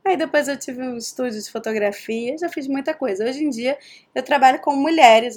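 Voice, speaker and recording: A woman from Rio de Janeiro with a Carioca accent, a guest chatting casually in an interview, animated and quick to laugh. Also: on the high side. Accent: Carioca Portuguese. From Rio de Janeiro, Brazil. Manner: animated storyteller, laughs often.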